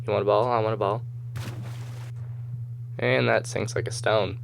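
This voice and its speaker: Low-voiced man rambling through gameplay. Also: steady tone, normal speed, gravelly, slight background noise, low-quality recording. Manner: rambling, thinking aloud. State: slightly hoarse morning voice. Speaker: gamer, host of a gameplay video series. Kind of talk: casual gameplay commentary. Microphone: voice-over recorded over game footage.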